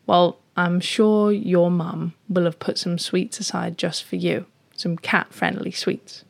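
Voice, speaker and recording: A woman's voice: on the low side, fairly even intonation, creaky, heavily acted. Elegant, kindly she-cat, performed by a woman, soothing and lightly teasing, for an improvised animal bedtime story. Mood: reassuring. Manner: soothing, drawn-out, gently teasing.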